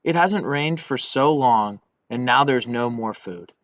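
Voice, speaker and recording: Male reader as tired little storybook monkey, mild delivery, middle pitch, dull recording. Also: animated, a little slow, a bit bright. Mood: weary, worried. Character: tired, hungry, joking male monkey friend.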